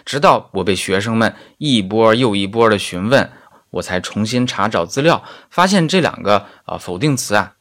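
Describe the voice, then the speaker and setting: A man's voice: on the low side, a bit bright. A man who is a native Chinese speaker, teaching German grammar in a scripted but conversational lesson with carefully spoken examples. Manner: didactic, conversational particles, slow careful example sentences. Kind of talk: scripted audio lesson with casual asides.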